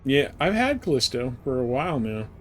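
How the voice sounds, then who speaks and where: A man livestreaming a game, chatting casually in rambling, halting thoughts as he plays. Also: mid-range voice, very animated, a bit bright. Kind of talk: casual chat while playing. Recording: noticeable background noise and game sounds. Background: game ambience and ship computer announcements.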